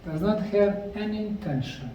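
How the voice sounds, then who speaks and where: A middle-aged man slowly narrating a documentary on location at ancient ruins, explaining the sites like a tour guide. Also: high voice, lively intonation, dark tone, dull audio, a little hiss or hum. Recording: roomy, distant mic with faint outdoor ambience.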